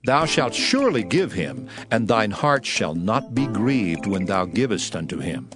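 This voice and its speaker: A man giving a solemn, declamatory dramatized reading of scripture in measured phrases. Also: on the low side. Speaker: Bible narrator. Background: background music.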